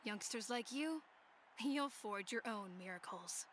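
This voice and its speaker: A woman voicing a seasoned, gruffly respectful rival fighter in an anime-style video game. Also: fairly high pitch, expressive, unhurried, a crowd in the background. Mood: grudging respect.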